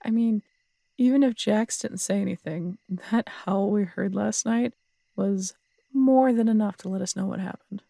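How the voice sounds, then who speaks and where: Woman acting feisty lady detective in an audio rp, bit of gravel in her voice, teasing, super dry close mic. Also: medium pitch, normal speed, dark tone, no room sound, close to an everyday voice. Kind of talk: roleplay audio drama, intimate casual dialogue. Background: crickets at night.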